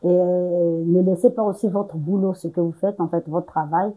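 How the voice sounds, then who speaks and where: Guest: young woman, dark mellow tone, slightly husky, halting, lots of fillers. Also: fairly low pitch, steady tone, poor audio. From Congo. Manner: many fillers and restarts, searching for words. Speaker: entrepreneur interview guest. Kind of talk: hesitant conversational interview answers.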